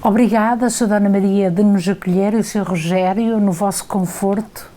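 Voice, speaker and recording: Formal, halting female interviewer. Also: medium pitch, fairly even intonation, dark tone, faint music behind. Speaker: host. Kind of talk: interview hosting.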